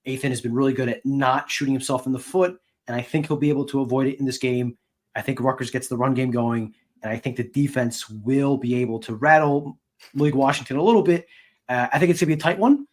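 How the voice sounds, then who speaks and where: A man's voice: medium pitch, quick pace. A man co-hosting a sports podcast, giving casual analysis.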